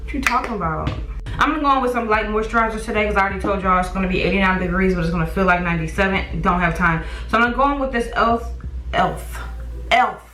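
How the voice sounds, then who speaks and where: A woman's voice: medium pitch, quick pace, sharp tone, a little hiss or hum. A young woman chatting to the camera while getting ready, repeating words because of a mild stutter. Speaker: beauty vlogger. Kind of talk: casual chat to camera. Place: small warm beauty room at home. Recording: roomy, slightly distant.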